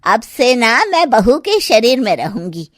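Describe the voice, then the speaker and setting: A woman's voice: a little high, sing-song, no echo, clean studio sound. A woman playing a daughter-in-law who pretends to be possessed by a vengeful mother's ghost, commanding and menacing in melodramatic style. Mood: threatening. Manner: menacing, commanding, drawn-out words. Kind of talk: melodramatic Hindi story-video acting.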